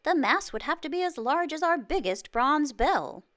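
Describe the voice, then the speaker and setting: A woman voicing an excitable, boastful court advisor in a storybook, speaking in an emphatic, declamatory way. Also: sits high, normal speed, pitched above the performer's own voice. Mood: inventive. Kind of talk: storybook read-aloud.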